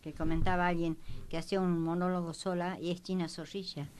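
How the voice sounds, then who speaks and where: A woman's voice: on the low side, level tone, a little fast, darkish tone, smooth. A woman talking in a rambling, repetitive way in a panel discussion. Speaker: panelist on a history programme.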